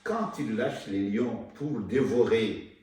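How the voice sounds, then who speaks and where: A man from Rwanda, an author being interviewed, talking haltingly with many restarts and fillers. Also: on the low side, darkish tone. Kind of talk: hesitant interview talk. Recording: echoey room, mic far off, faint noise.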